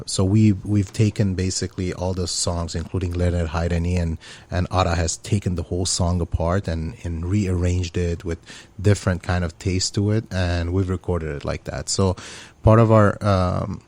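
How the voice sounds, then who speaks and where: Deep-voiced earnest male musician. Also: creaky, a little mellow. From Syria. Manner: earnest, speaks with many fillers. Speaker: musician guest. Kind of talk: casual interview chat.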